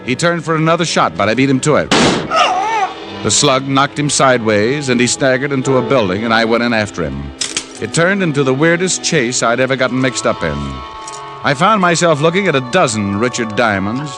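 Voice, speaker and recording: An actor playing a tough, wry private detective with an American accent and clipped, needling delivery in an old radio crime drama. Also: male voice, medium pitch, lively intonation, fairly quick, close to an everyday voice. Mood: tense, brisk. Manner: wry, needling, clipped hard-boiled talk. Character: tough, wry male private detective, hard-boiled narrator. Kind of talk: 1940s-50s radio detective acting and narration. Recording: old radio recording, music behind.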